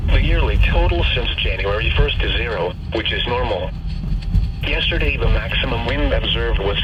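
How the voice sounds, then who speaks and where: A man with a measured, impersonal, synthetic-sounding voice reading a formal scripted weather bulletin as an automated radio announcer. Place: weather radio broadcast captured in a moving car. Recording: muffled radio reception, clear noise, vehicle rumble.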